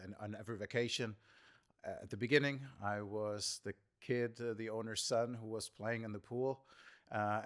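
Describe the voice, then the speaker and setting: A middle-aged man from Germany, a tour guide answering interview questions in a measured way with frequent fillers. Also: lowish voice. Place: indoor shop or venue.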